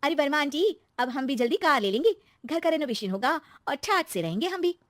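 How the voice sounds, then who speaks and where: An expressive young married woman, acted by a female storyteller, with a high, lifted voice and a close, dry sound. She is chatty, excitable and quick to take offence, in the manner of a domestic story. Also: no echo. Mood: excited.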